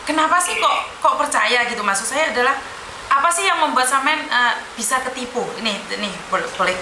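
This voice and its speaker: A woman hosting a live-streamed call-in chat, animated and encouraging, slipping into Javanese terms of address. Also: high voice, a little fast, echoey room, slight background noise, low-quality recording. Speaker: host of a livestream.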